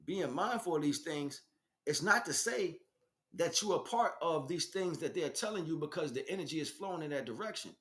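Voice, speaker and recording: High-pitched, bright-voiced American man preaching. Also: expressive, normal speed. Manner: emphatic, streetwise slang, direct address to listeners. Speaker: spiritual teacher. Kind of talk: informal spiritual monologue, preaching.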